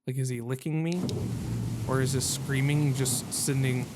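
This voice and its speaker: A man's voice: mid-range voice, steady tone, normal speed, heavy background noise. A man doing casual let's-play commentary as a gaming host, joking and self-deprecating in slangy talk.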